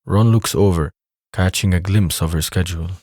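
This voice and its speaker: Low deep guy narrating an audio drama script, close dry recording. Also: normal speed, darkish tone, no room sound.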